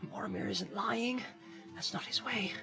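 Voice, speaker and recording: A man's voice: sits high, unhurried, vocal fry, music in the background, voice pushed up. A man voicing an anxious, loyal hobbit servant with a rustic, folksy manner in a storybook reading. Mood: agitated reasoning. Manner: rustic, plain folksy grammar, muttering and exclaiming.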